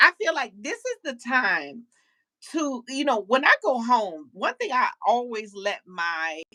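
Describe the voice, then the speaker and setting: Woman talk show host, high voice, bright tone, dry close sound, animated and preachy. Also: no echo, poor audio. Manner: animated, preachy, repeats words as she starts sentences. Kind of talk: casual panel chat.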